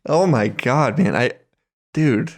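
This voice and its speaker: A man's voice: mid-range voice, animated, takes it slowly. A man co-hosting a podcast in casual comedic chat, slangy and profane. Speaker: podcast co-host.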